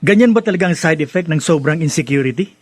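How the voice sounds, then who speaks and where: Radio drama casting: self-assured, teasing big brother, Filipino accent, lighter-pitched, close dry sound. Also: male voice, animated, fast talker, slightly rough, dry recording. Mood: mocking, defensive.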